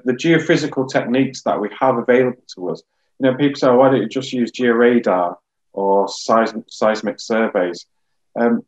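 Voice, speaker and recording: A man in his thirties giving measured explanations as a geologist guest on a webinar video call. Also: lowish voice, a little mellow, lo-fi sound. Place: small room, indoors, on a video call.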